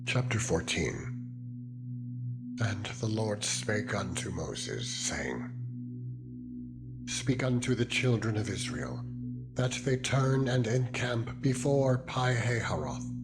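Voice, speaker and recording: A man with a mid-pitched voice narrates scripture in a solemn, dramatic style. He takes his time, moving very slowly and giving each phrase weight. Music plays clearly behind him throughout. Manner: deliberate, measured, with weighty phrasing. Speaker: Bible narrator.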